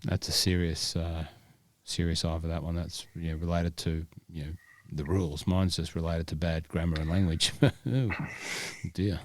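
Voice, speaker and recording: A man's voice: deep voice, level tone, moderate pace, dry recording. A man co-hosting a golf podcast, chatting in a rambling, self-deprecating way. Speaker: podcast co-host. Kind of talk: casual podcast chat.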